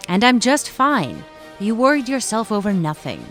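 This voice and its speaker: A lively, teasing young bride-to-be. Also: female voice, fairly high pitch, moderate pace. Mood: happy, bright. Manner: playful, reassuring, affectionately chiding. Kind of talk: audio drama acting. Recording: music under the voice.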